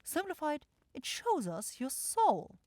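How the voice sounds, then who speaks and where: A woman's voice: high voice, huge pitch swings, slow, a little mellow, full character voice. A woman playing a self-assured, impatient demon shopkeeper in a fantasy ASMR audio roleplay, with a theatrical, coaxing salesy manner. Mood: fussy, professional. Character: bossy, salesy demon-like soul shop keeper sister, impatient.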